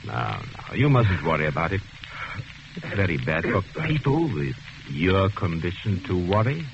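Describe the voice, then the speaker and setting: A man's voice: low-pitched, sing-song, moderate pace, a little hiss or hum. An actor playing a cold, calculating male convict who torments his cellmate, in old radio drama style from a dull, dated recording. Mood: false soothing. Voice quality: smooth, precise, sinister. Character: cold, scheming male convict, cruelly intellectual. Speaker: prisoner narrating his scheme. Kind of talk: 1940s radio drama acting.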